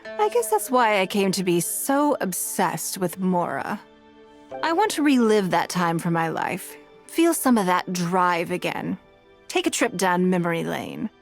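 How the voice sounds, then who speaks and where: An actress voicing a poised, wealthy businesswoman and leader in a video game, composed and measured, with an elegant, self-assured manner. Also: on the low side, very animated, soft background music. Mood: fond, self-assured.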